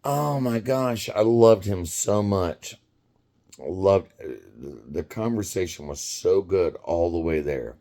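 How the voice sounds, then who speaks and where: Slow, deep-voiced man in his fifties. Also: mellow. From United States. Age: 50s. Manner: rambling, halting, quick to laugh. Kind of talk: casual storytelling.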